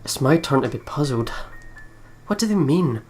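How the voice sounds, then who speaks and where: A woman's voice: rumbling low, expressive, normal speed, a little mellow, faint music behind. A woman hosting a let's play, commenting casually and reactively, with some swearing. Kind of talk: casual gaming commentary with read-aloud narration.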